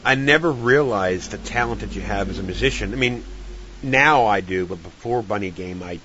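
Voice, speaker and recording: A middle-aged man with a deep voice, chatting casually and plainly as a podcast host in a rambling, informal way. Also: moderate pace, bright, forceful tone. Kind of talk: casual interview chat. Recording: dull lo-fi audio with clear hiss.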